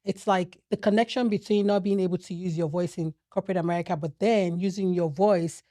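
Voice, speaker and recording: A woman's voice: lowish voice, steady tone, fairly quick, no room sound, clean studio sound. A young adult woman hosting a podcast interview, chatting casually and thinking aloud. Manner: warm, affirming listener who thinks aloud. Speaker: podcast host.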